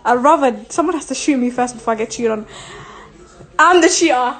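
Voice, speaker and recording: A woman's voice: high-pitched, brisk, breathy edge. A young woman in her twenties chatting casually on a phone livestream, reading viewer questions and answering bluntly with lots of swearing. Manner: blunt, profane, laughing, reads comments aloud. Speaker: social media personality answering viewer questions. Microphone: phone held close, selfie framing.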